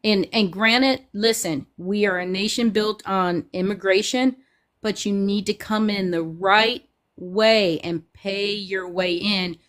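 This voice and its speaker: A woman's voice: mid-range voice, steady tone, slow, fairly bright tone. Emphatic Midwestern woman caller. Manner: emphatic, opinionated, punchy endings. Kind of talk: casual call-in chat.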